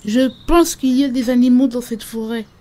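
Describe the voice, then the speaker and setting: Female performer as little girl, high voice, inquisitive, full of wonder, children's cartoon. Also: fairly even intonation, normal speed, mellow, natural voice.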